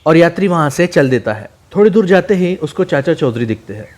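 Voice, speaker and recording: A man's voice: fairly high pitch, expressive, fairly quick, darkish tone. A man narrating scripted comic book stories as a storyteller.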